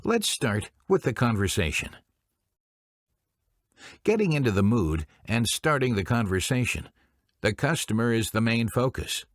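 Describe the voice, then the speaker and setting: A man presenting business training in scripted instructional narration, speaking in a formal, deliberate way. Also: mid-range voice, big pitch swings, no room sound, clean studio sound.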